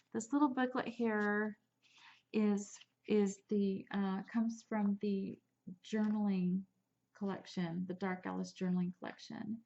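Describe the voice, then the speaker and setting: A woman rambles cheerfully through her handmade album, restarting sentences and slipping in small laughs. Her voice has a gravelly edge and stays on a fairly flat, even line. She is a bit sniffly from allergies, and the recording sounds dull and muffled. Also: mid-range voice. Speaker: crafter showing a handmade album. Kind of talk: casual craft show-and-tell.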